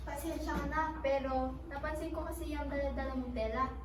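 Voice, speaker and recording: A woman's voice: high-pitched, fairly even intonation, a little fast, close to an everyday voice. A woman acting an earnest, proud teenage student in a school stage play, with emphatic recited delivery. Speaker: actor in a school one-act play. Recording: echoey hall, distant mic, clear noise.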